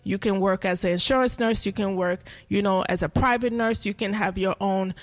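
A woman in her forties tells her story in an earnest, unhurried way. Her pitch sits in a middle range. She often breaks into laughter as she talks. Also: fairly even intonation. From United States. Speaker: co-host, nursing instructor. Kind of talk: casual chat.

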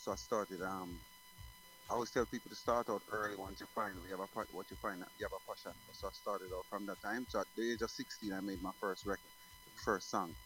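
A man's voice: lowish voice, huge pitch swings, fast, a bit bright, slight background noise, poor audio. A middle-aged man from Jamaica, a guest singer talking casually in an interview, rambling with many restarts.

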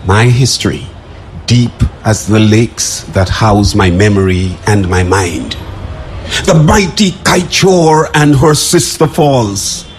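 A man performing a dramatic spoken-word poem in a declamatory, drawn-out delivery. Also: expressive, a little hiss or hum. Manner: declamatory, drawn-out delivery with big swings in pitch.

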